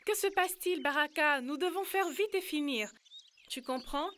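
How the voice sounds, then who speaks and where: A woman's voice: sits high, normal speed, bright, forceful tone, a little hiss or hum. A woman voicing a helpful, slightly bossy young girl in a cartoon dub. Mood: earnest.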